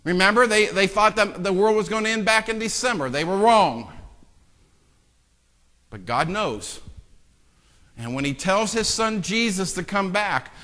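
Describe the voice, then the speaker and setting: Impassioned pastor, bright voice, long reverb. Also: male voice, high-pitched, lively intonation, unhurried, long pauses. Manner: swings from hushed asides to loud impassioned preaching; self-deprecating joking with the congregation. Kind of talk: sermon. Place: church sanctuary. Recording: long church-hall reverb.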